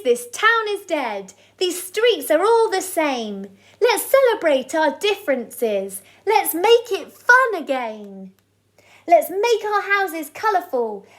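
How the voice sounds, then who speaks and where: Woman acting a high-pitched, rousing chimpanzee hero. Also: full character voice. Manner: rousing, declaiming speech. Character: brave, idealistic, rousing male chimpanzee hero.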